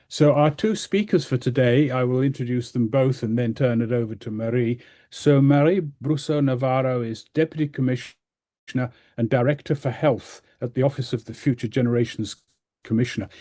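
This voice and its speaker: Mellow-voiced man hosting a webinar. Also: mid-range voice. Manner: halting, with fillers. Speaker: webinar host. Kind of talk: introducing speakers. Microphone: video call.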